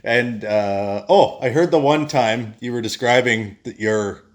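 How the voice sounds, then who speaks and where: A man's voice: medium pitch, unhurried. A man hosting a podcast, chatting casually and affirming his guest. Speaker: podcast host. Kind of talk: casual interview chat.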